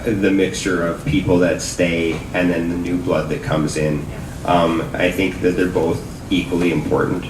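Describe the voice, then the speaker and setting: Deep-voiced man on a gallery panel. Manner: halting, many fillers. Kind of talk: panel discussion. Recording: roomy, distant mic, noisy.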